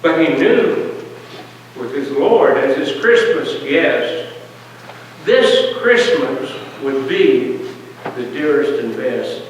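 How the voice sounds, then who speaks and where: A man reading a narrative poem aloud like a storyteller at a church service, deliberate and pausing between short phrases. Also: medium pitch, big pitch swings. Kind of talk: dramatic poetry reading, storytelling. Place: large church hall, heard from a distance. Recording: distant, echoey church hall, faint noise.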